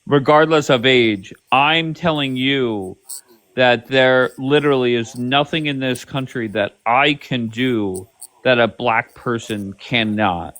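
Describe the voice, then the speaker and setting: A man's voice: mid-range voice, slow, fairly bright tone. A man hosts a heated live-stream debate, talking bluntly and swearing freely. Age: 50s. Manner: blunt, profane, pushy.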